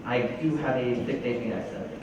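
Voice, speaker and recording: A man's voice: medium pitch, a little fast, vocal fry, lo-fi sound, a crowd in the background. A young man from New Jersey giving a personal talk, telling stories and switching between English and Mandarin. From New Jersey, United States. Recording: very echoey hall, distant mic, noisy.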